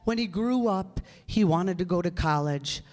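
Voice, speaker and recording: A performer playing a calm, authoritative and kindly senior angel in a radio drama, patiently giving instructions. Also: medium pitch, normal speed, lightly acted. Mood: grave, explanatory. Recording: echoey hall, long reverb.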